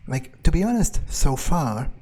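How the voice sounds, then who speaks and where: A man's voice: fairly high pitch, very animated, unhurried, warm tone. A man doing casual, foul-mouthed in-character game commentary, muttering his thoughts aloud as he plays. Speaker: gaming streamer, let's player. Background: video game music.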